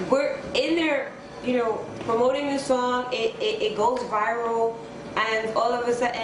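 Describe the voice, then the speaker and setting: A woman from Trinidad with a Trinidadian accent, telling a story as a guest in a casual interview, laughing often and swearing. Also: mid-range voice. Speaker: guest musician. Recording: echoey room with background noise.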